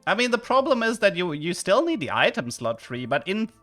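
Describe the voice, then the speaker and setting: Lively German-accented man streaming. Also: high-pitched, fairly quick, soft background music. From southern Germany. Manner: chatty, with sudden excited outbursts. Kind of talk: live game commentary and chat with viewers.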